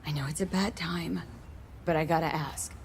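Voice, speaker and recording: A woman's voice: low-pitched, steady tone, normal speed. An actress voicing a tough, blunt, guarded woman mercenary in a video game, over some background noise. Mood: earnest, pressing. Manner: blunt, clipped.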